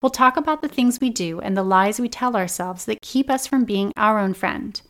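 A woman in her thirties hosting a personal self-help podcast, her voice slightly raspy and dry, speaking in an earnest, gentle and encouraging way. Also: mid-range voice, normal speed. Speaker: podcast host.

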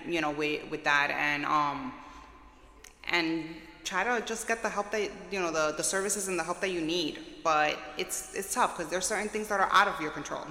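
Low-voiced woman in an echoey room. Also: normal speed. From New York, with childhood in Puerto Rico. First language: Spanish. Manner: soft-spoken, hesitant with many fillers. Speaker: school social worker. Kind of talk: interview, personal recollection.

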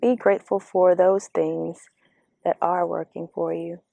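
A woman giving a reflective, earnest motivational talk outdoors, speaking slowly and asking the listener questions, her voice slightly raspy. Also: on the low side, little pitch movement, no echo, narrow, tinny audio. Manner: slow, earnest, coaching, asks the listener questions. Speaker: vlogger giving spiritual encouragement. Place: outdoors among birds. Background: birdsong.

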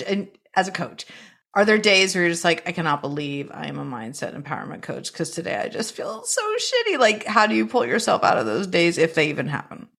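A woman with a low-pitched voice hosts a conversational interview. She speaks candidly and informally, circling a little as she works out each question. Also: creaky, fairly bright tone. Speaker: interview show host.

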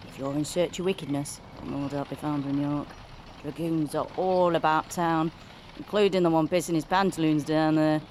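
An actress playing a wary, cheeky working-class Georgian coach guard, talking bluntly in rustic dialect in an audio drama. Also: female voice, low-pitched, steady tone, moderate pace, darkish tone, slight background noise, lightly acted. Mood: conspiratorial.

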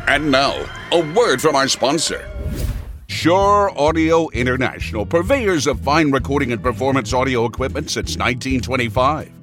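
An actor playing a melodramatic, bombastic announcer of an old-time radio serial. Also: male voice, fairly high pitch. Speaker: narrator and announcer.

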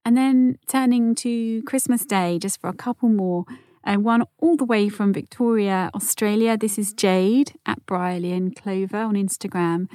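Soft-spoken woman podcast host, dry sound. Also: mid-range voice, moderate pace, dry recording. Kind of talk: gentle podcast narration reading listener messages.

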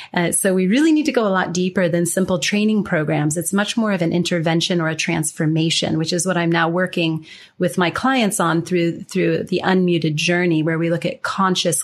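A woman's voice: fairly low pitch, slightly rough. A woman consultant answering interview questions in an articulate, explanatory way. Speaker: communication consultant, guest.

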